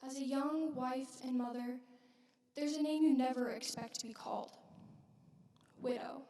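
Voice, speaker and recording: A woman playing a widowed young mother from biblical Galilee in a dramatic church monologue, speaking earnestly and haltingly with weighted pauses. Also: high voice, steady tone, dark tone. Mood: vulnerable. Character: widowed young Galilean mother, wounded, reverent witness of Jesus. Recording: echoey hall, distant microphone.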